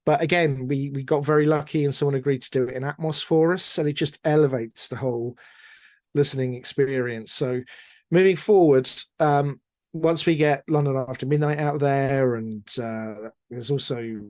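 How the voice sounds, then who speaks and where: A man's voice: mid-range voice, steady tone, normal speed, darkish tone, dry recording, clean studio sound. A middle-aged man talking casually as an interview guest, chatty and digressive, with a self-deprecating way of speaking. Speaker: interview guest, audio drama producer.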